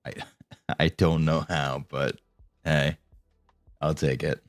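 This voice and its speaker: Man, very deep voice, dry close mic, faint music behind, low-key gameplay chatter. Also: little pitch movement, takes it slowly, no echo. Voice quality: voice called soothing. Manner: low-key, mumbling, swears when frustrated. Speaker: streamer. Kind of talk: casual gameplay commentary.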